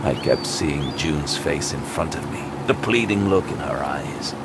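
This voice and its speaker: An actor playing a haunted, wounded detective, narrating a grim horror audio drama with grave intensity. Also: male voice, very deep voice, a little slow, very noisy. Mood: grieving, exhausted. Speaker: narrator and lead character. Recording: loud music bed throughout.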